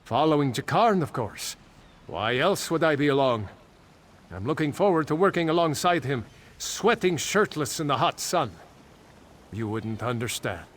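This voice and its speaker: An actor playing a lusty, infatuated sailor in a video game, suggestive and smug. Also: male voice, on the high side, sing-song, moderate pace, fairly bright tone, a little hiss or hum. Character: lusty, starry-eyed male sailor, an infatuated admirer. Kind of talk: video game character dialogue.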